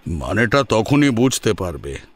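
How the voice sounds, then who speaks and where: A man's voice: fairly low pitch, very animated, measured pace, slightly rough, dry recording, full character voice. A man acting a proud, unbending middle-aged father in an audio drama, firm and haughty. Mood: assured.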